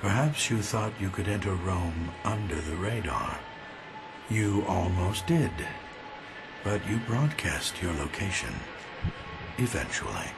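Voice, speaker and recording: A man playing a cold, controlled villain in a video game, speaking in measured, formal, menacing phrases. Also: deep voice, big pitch swings, full character voice. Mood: cold menace. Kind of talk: video game cutscene acting. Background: prominent music.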